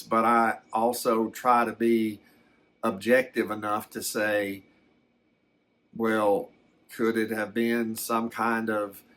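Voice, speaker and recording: An older man telling a personal story in a casual, unscripted way, halting and repeating words as he reflects. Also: mid-range voice, fairly bright tone. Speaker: storyteller recounting a personal experience.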